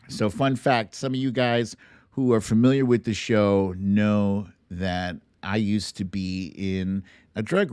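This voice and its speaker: A middle-aged man with an American accent hosts a casual show, speaking at an unhurried pace. He lands short phrases with emphasis and deliberate pauses, moving through opinion and storytelling. The sound is dry, with little room on it. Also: on the low side, a little mellow, dry recording. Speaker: show host. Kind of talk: casual monologue, commentary and storytelling on a livestream.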